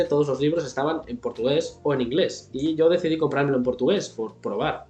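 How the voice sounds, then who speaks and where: A man, giving a scripted language-learning lesson straight to the viewer in a brisk, instructive way. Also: fairly high pitch, darkish tone, echoey room. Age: 20s. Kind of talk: scripted explainer to camera.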